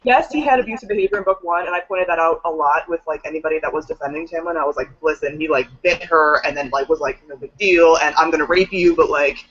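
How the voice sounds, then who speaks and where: A woman's voice: deep voice, fast, few pauses, fairly bright tone. Woman ranting casually about books, swears a bit, says like a lot, muffled lo-fi audio. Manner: emphatic, swearing, filler-heavy with "like". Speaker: book discussion panelist. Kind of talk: casual chat, book discussion.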